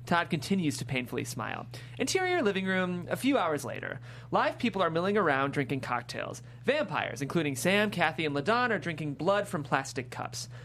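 A woman's voice: on the low side, sing-song, fairly quick, fairly bright tone, soft background music. A woman giving scripted narration, reading out stage directions at a table read. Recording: clear background noise and hum.

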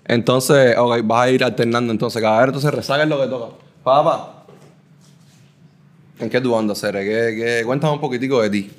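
A man's voice: medium pitch, normal speed. A man hosting a casual interview over drinks, playful and teasing, repeating words and using lots of slang. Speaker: podcast host.

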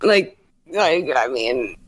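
A woman's voice: extremely low pitch, animated, slow pace, breathy edge. A young woman chatting frankly on a podcast, full of fillers and laughs. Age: young adult. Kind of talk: casual podcast chat.

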